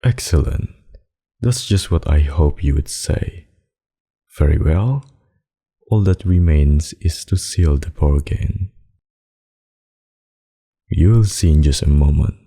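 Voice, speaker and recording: A man playing a centuries-old, possessive and sadistic vampire in an intimate ASMR roleplay, speaking in a husky, silky, dominant and condescending way. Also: rumbling low, takes it slowly, long pauses, warm tone, heavily acted. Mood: commanding.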